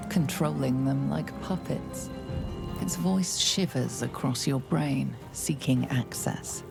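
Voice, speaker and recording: An actress playing a grave, ominous narrator of a fantasy video game, telling the story in a measured way. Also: female voice, extremely low pitch, creaky, exaggerated voice. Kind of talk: scripted second-person game narration.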